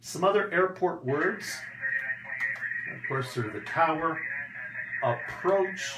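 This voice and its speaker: Male instructor, unhurried delivery with careful enunciation, explanatory lesson style, roomy recording with noticeable background noise. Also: on the high side. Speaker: English teacher. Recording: echoey room with background noise.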